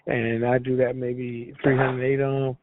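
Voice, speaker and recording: A man's voice: medium pitch, level tone, unhurried, no room sound. A man calling in as a small business owner, chatting casually in an informal, plainspoken, colloquial way. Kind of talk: casual phone chat. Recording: tinny narrow phone line.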